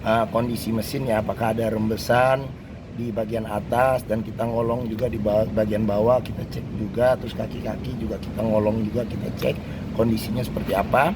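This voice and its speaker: A hoarse man walks around a used car, talking unhurriedly and filling his pauses with "nah" and "eh". Traffic and vehicle noise from outside come through loudly behind him. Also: fairly low pitch, level tone. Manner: unhurried, many fillers and "nah". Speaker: used car dealer host. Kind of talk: walkaround car review with buying tips. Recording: loud ambient street and vehicle noise.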